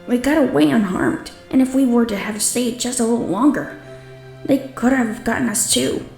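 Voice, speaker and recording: A woman's voice: a little high, moderate pace, creaky, darkish tone, soft background music. A woman voicing a frightened, grieving little orphan boy who stammers tearfully, in a storybook-style manga dub.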